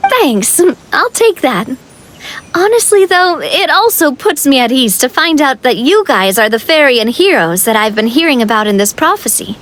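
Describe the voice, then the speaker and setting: A woman's voice: sits high, very animated, moderate pace, a bit bright. A woman playing a confident, upbeat young female leader in a video game, breezy and self-assured in her delivery. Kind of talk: animated game dialogue. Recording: music playing behind the voice. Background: game music.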